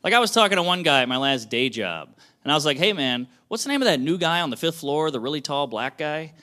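A man doing a stand-up set on a club stage, with a lively, self-mocking delivery. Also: a little high, quick pace. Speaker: stand-up comedian. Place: comedy club stage before laughing audience. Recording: loud crowd laughter and noise.

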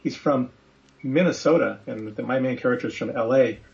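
Gravelly man in casual conversation. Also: medium pitch, fairly quick, darkish tone, muffled recording. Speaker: interview guest, author.

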